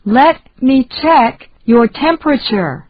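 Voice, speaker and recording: Woman, very slow, careful phrase reading, muffled lo-fi sound. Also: sits high, lively intonation, slightly rough, no echo. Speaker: English lesson reader.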